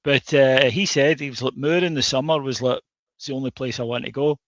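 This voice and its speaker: A man's voice: medium pitch, fairly even intonation, brisk, fairly bright tone, no room sound. A Scottish man co-hosting a football podcast, chatting casually with lots of fillers and restarts. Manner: hesitant, many fillers and restarts. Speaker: podcast co-host. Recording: dull dry lo-fi sound.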